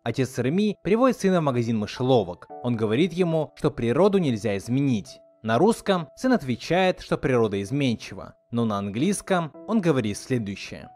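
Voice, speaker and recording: Animated man narrating a video essay. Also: medium pitch, fairly quick, hardly any pauses, soft background music. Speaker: video host.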